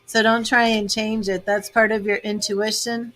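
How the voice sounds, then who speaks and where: An American woman in her fifties hosting a live tarot reading, chatty and casual, laughing often and filling pauses with "um". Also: medium pitch, little pitch movement, fairly bright tone, faint music behind, poor audio. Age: 50s. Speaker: tarot reader hosting a livestream. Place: home with grandchildren and birds in earshot.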